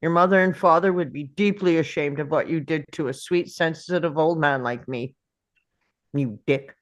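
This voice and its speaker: A woman reading the part of a sardonic old uncle in a deadpan, grumbling manner at a script table read. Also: deep voice, normal speed, lightly acted. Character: gruff, sardonic old uncle, grudgingly fond of his nephew.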